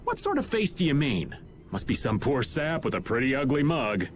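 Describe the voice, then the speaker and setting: A man's voice: mid-range voice, huge pitch swings, normal speed, a little hiss or hum. An actor playing a scruffy joking middle-aged man with a world-weary, teasing manner in a video game cutscene. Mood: playful. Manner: glib and teasing, turning weary.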